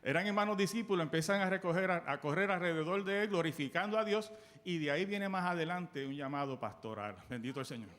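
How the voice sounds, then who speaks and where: A man with a Puerto Rican accent preaching a sermon in church, asking the congregation questions and swinging between loud bursts and soft quoted lines. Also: high-pitched, fast talker. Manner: animated, call-and-response questions to the congregation. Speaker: guest preacher. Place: church sanctuary.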